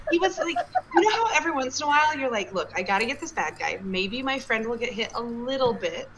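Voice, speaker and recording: A woman's voice: a little high, very animated, fast, fairly bright tone, low-quality recording. A woman chatting casually as a player on a tabletop game stream. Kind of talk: casual chat. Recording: clear background noise, live stream.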